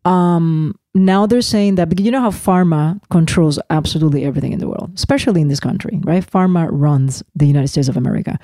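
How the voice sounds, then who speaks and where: A woman from Puerto Rico co-hosting a casual chat podcast, impassioned and talking in rapid bursts. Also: low-pitched, dark tone, no echo, studio quality. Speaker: podcast co-host.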